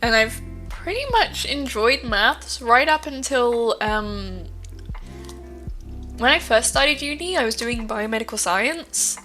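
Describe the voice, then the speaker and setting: A woman's voice: on the high side, animated, slow pace, bright, forceful tone, soft background music. A woman streaming, chatting casually with viewers, rambling with lots of fillers and a stuffy nose from hay fever.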